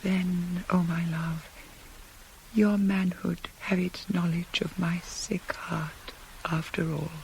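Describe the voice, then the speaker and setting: A woman's voice: on the low side, flat delivery, slow pace, gravelly, darkish tone, rough recording. A woman playing a proud, anguished queen bent on death in a radio tragedy, declaiming and falling into intense whispers. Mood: hushed, resolved. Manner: heightened, declamatory, often dropping to intense whispers. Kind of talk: 1980s radio drama, Greek tragedy. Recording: hissy radio play taped on cassette.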